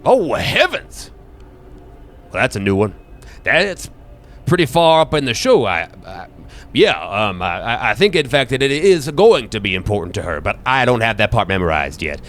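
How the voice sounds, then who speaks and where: A man's voice: mid-range voice, big pitch swings, normal speed, a bit bright. Man playing a rambling, dotty old shopkeeper, swooping voice. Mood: musing, folksy. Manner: hesitant, rambling, folksy exclamations. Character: dotty, genial old bookshop keeper, rambling and apologetic.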